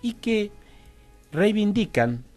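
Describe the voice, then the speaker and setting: Argentine man, halting didactic explainer. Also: high voice, sing-song, darkish tone, soft background music. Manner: didactic, halting, frequent checking tags. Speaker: interview guest, university teacher and researcher. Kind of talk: radio interview, academic explanation.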